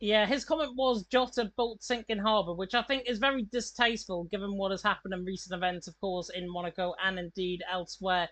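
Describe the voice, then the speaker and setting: A woman commentating on sim racing, brisk and emphatic as she signs off. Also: mid-range voice, steady tone, a bit bright, dry recording, low-quality recording. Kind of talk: broadcast sign-off commentary.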